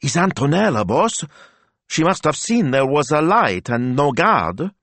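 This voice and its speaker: A timid, slow-witted young sidekick with a raised, hesitant voice, deferring at every turn, as a male storyteller puts him on. The sound is dry and close. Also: fairly high pitch, very animated, fairly bright tone, dry recording, voice pushed up, exaggerated voice. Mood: stubborn.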